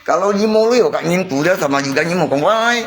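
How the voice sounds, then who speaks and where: A man's voice: sits high, expressive, brisk. A man chatting casually on a livestream, repeating himself and arguing his point to viewers. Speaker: live streamer.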